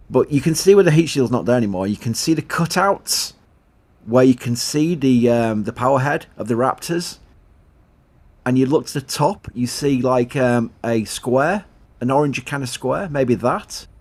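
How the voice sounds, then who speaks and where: A man's voice: medium pitch, animated, normal speed, darkish tone. A man with a Yorkshire accent hosting a casual live commentary stream, chatty, laughing often and reading out viewer questions. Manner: chatty, laughs often, thanks supporters, restarts a lot. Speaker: livestream host.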